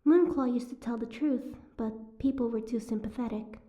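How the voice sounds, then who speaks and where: A woman's voice: a little high, normal speed, dark tone, close to an everyday voice. A woman playing a sardonic, guarded captive mermaid in an audio roleplay, with a wry, teasing, prickly manner.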